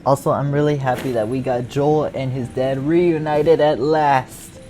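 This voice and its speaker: A man's voice: mid-range voice, steady tone, measured pace, mellow, a little hiss or hum. Casual male gamer with mid-range voice. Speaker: video game streamer. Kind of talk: casual reaction commentary over gameplay.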